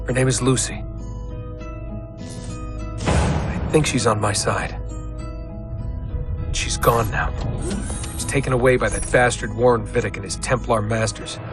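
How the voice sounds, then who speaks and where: A man's voice: medium pitch, lightly acted. Male actor as anxious, resolute young captive, video game drama, heavy score underneath. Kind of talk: video game cutscene acting. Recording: music behind the voice.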